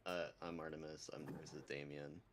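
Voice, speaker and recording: A man's voice: extremely low pitch, little pitch movement, brisk. A man chatting casually as a livestream guest, informal, hesitant and sweary. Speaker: amateur voice actor, livestream guest. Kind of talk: casual group chat. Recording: noisy voice chat mic.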